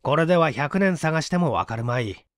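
A man's voice: on the high side, lively intonation, normal speed, dry recording, studio quality. A man reading a mystery story as dramatic scripted narration. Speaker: audiobook reader, stage actor.

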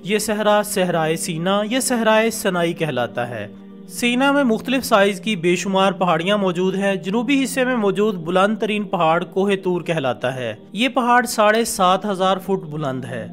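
High-pitched male documentary narrator over music. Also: lively intonation, normal speed, fairly bright tone. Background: background music.

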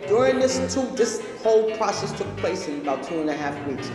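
A woman's voice: lowish voice, sing-song, brisk. A middle-aged woman speaks as a parent telling her own account in an interview. The room rings with heavy echo and long reverb, with music playing behind her. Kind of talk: personal testimony in an interview. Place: indoors, school-like room. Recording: very echoey room, music underneath.

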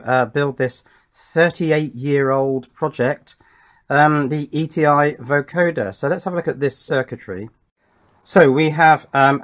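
A middle-aged man presenting an informal hands-on electronics demonstration, unhurried and thinking aloud as he explains. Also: mid-range voice.

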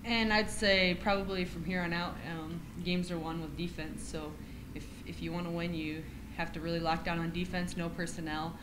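A young woman, a college basketball player, answering press questions in an earnest, steady way. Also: lowish voice, normal speed, background noise. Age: young adult. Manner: earnest, steady, leans on fillers. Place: press conference room, seated at table. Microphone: shared table mic.

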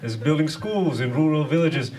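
A man in his thirties from Sri Lanka doing stand-up with a hesitant, deadpan delivery. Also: on the high side, moderate pace. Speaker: stand-up comedian. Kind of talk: stand-up comedy monologue. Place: small dark comedy club stage. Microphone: handheld stage microphone. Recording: distant, very noisy live room.

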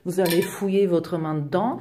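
A woman's voice: on the low side, steady tone, normal speed, dark tone, a little hiss or hum. A woman whose first language is Portuguese, talking through a home decor tutorial in a friendly way, hesitating often with fillers. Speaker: DIY decor vlogger. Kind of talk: tutorial voice-over.

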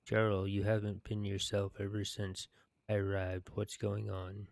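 Low, flat-voiced man reading slowly. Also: creaky, no echo. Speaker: gaming video narrator. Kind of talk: reading game quest text aloud. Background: video game music.

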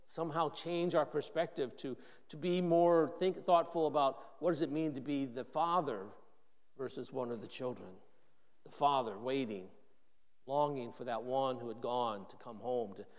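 A man's voice: fairly high pitch, darkish tone. A man in his sixties, a pastor giving a conversational sermon, often restarting his sentences as he thinks aloud. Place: church sanctuary. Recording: long church reverb.

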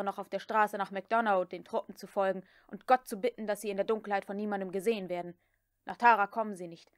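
A woman voicing a cool, mocking gentleman who takes command, as part of an audiobook reading. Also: mid-range voice, dry recording, clean studio sound, natural voice. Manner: sardonic, crisp, commanding. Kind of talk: dramatic audiobook character reading.

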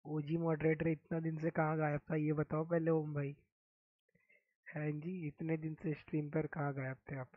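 A young man from India chats softly on his game stream, his throat sore and hoarse. His slightly high voice stays flat and even. The sound is thin and tinny, like a phone line, with no room echo. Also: moderate pace. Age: young adult. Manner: speaks softly, mic-checking. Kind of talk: casual live-stream chat.

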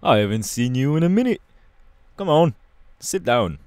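A man voicing a laid-back but irritable young punk superhero bassist, slangy and drawling, in an audio roleplay. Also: sing-song, moderate pace, a little mellow, no echo, lightly acted. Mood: upbeat, welcoming. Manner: slangy, casual, drawling with hesitations.

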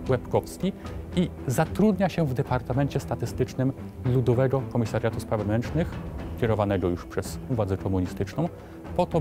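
Man, thirties, formal documentary commentary, middle pitch, distinct background music.

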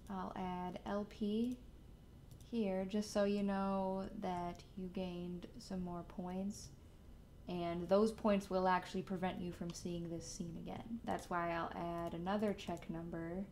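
A young adult woman hosting a casual software tutorial, explaining each step from a desk in a room. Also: on the low side, level tone, slow pace, slight background noise. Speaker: tutorial host.

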